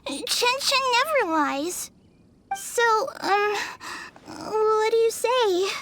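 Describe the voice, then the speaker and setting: Timid, very high-voiced little ghost girl. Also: big pitch swings, very slow, a bit bright, full character voice. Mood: hesitant, hopeful. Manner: hesitant, stammering. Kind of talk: video game voice acting.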